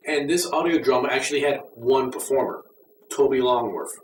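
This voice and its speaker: A man's voice: mid-range voice, moderate pace. A man giving a casual, conversational and mildly critical review as an audio drama reviewer. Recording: roomy echo, distant mic, faint noise.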